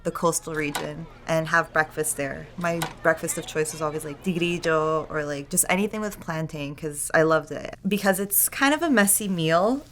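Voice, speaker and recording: A woman's voice: sits low, normal speed, few pauses, a bit bright, a little hiss or hum. A young woman raised in Ecuador and Canada, talking casually in an interview with lots of "like" and "uh". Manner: casual, full of "like" and "uh". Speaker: documentary interviewee. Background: guitar music.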